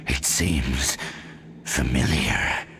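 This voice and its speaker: A man voicing a menacing inhuman herald in a video game, cryptic and ominous, with a gravelly, guttural voice. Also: very deep voice, sharp tone, faint music behind, heavily acted. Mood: cold, ominous. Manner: ominous, deliberate. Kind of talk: video game villain dialogue.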